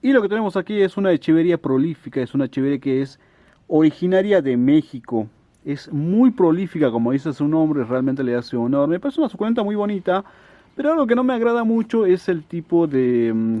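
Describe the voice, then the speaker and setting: Chatty guy from the northwest, kinda high voice, dry dull sound. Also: no echo. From Salta, Argentina. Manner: chatty, unhurried, frequent "¿no?" asides. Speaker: succulent grower, channel host. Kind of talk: casual show-and-tell.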